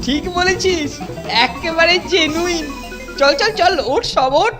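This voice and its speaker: A woman's voice: very high-pitched, slow pace, music in the background. A woman voicing a bossy, cowardly older boy who leads a gang in a cartoon, blustering and ordering the others about. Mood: excited. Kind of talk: cartoon voice acting.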